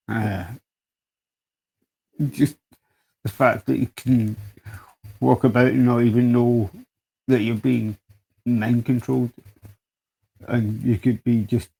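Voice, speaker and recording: Slow gravelly guy on a podcast, husky, mostly mm-ing along. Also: medium pitch, dark tone, poor audio. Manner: slow, murmured agreement. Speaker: podcast co-host. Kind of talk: casual conversation.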